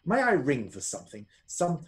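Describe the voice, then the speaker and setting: An actor playing an earnest, despairing gentleman husband in a melodramatic radio play. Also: fairly high pitch, wildly swooping pitch, normal speed, noisy background. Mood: grave.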